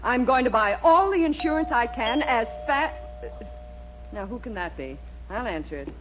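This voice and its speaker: A woman's voice: fairly high pitch, sing-song, moderate pace. An actress playing a formidable, suspicious middle-aged mother in a 1940s radio comedy, theatrical and dry, with an American accent. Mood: sympathetic, suspicious. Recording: muffled old radio recording, faint hiss.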